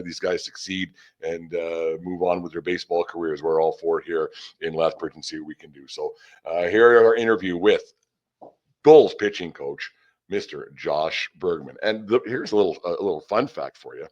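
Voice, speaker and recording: An older man hosting a casual sports podcast in a folksy, rambling, talkative way. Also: low voice. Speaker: host of a baseball team podcast. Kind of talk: casual sports interview and chat. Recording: dull, band-limited sound.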